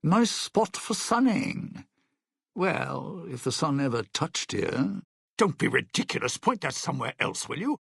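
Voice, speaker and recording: Casting: a world-weary, sardonic showman in a video game; husky male voice, dry close sound, old-time slang and sly patter. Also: fairly high pitch, very animated, unhurried, fairly bright tone, dry recording, exaggerated voice. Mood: smooth, conspiratorial, then irritated, sardonic. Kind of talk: video game companion voice lines.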